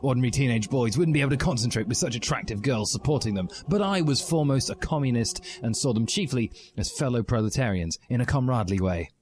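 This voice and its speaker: A man narrating a comic story in a deadpan, mock-dramatic storybook manner. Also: mid-range voice, fast, hardly any pauses. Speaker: reader of a comic fan story. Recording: music behind the voice. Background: music.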